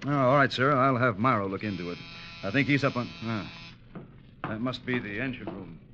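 An actor playing a guarded, dutiful ship's officer in an old radio drama, with a terse, understated delivery. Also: male voice, medium pitch, fairly quick, close to an everyday voice. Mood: respectful, businesslike. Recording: muffled, noisy vintage radio recording.

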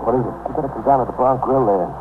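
An actor playing an uneasy working-class barfly witness in 1950s radio drama style, slangy, repetitive and defensive. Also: male voice, mid-range voice, very fast, natural voice. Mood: urgent, evasive. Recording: noisy, tinny 1950s radio broadcast.

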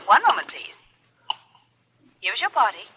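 An actress playing a brisk, formal long-distance telephone operator in a 1940s radio drama. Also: female voice, mid-range voice, sing-song, lo-fi sound. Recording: noisy vintage radio, telephone sound.

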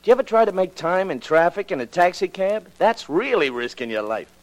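An American actor playing a plucky working-class city cab driver in a 1940s radio drama, talking in informal slang. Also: male voice, high voice, sing-song, fairly quick. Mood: modest, relieved.

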